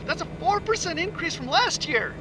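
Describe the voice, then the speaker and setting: An actor playing an anxious, sarcastic anthropologist in an audio drama, with a nervy delivery. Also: male voice, extremely high pitch, very animated, moderate pace, background noise. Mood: nervous, bitter. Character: anxious, sarcastic male anthropologist tormented by visions.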